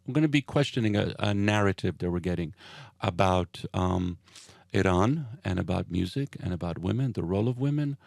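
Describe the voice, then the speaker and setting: Gravelly-voiced male show host. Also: lowish voice, a little mellow, no room sound, clean studio sound. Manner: loose, rambling, with many fillers. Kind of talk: casual interview chat.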